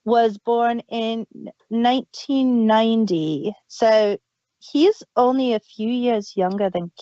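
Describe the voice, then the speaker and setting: A woman's voice: on the high side, slow pace, warm tone, dry recording. Woman co-host recounting lore, muffled. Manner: detailed, recounts story lore at length. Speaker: podcast co-host. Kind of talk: casual review chat.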